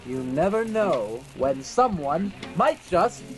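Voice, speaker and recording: Showman magician kid, old radio drama, polite, lightish pitch, music behind. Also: very animated, slow, rough recording. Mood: showy. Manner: theatrical showman's patter. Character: showy, polite young male stage magician. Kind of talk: 1940s radio acting.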